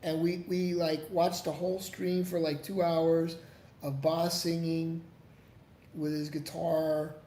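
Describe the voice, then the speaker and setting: Guy on a livestream, kinda high voice, rambly and swears a lot, echoey room. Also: little pitch movement, slow, warm tone. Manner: rambling and profane, with frequent "like" and restarts. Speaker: livestream host. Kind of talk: casual livestream chat, reading and answering viewer comments. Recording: echoey room with faint background noise.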